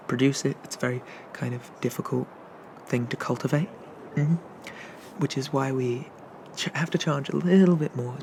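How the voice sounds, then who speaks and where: Man playing a gravelly-soft, chatty sea dragon barista. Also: mid-range voice, background noise, close to an everyday voice. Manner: rambling, hesitant, softly chuckling, eager. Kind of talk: ASMR roleplay, soft-spoken.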